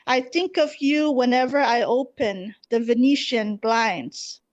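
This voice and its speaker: Woman poet, high voice, unhurried and deliberate, slightly muffled online call sound. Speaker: poet at an open mic. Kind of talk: poetry reading and brief casual remarks. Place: online video gathering.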